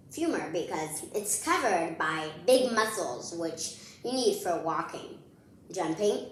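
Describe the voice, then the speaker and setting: A woman hosts a read-aloud for children in a very reverberant room. She takes her time with the words, and her pitch moves around a lot. Her delivery is expressive and playful, as if talking straight to young listeners. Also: slight background noise. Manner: animated, playful, addressing child listeners directly. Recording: very echoey room.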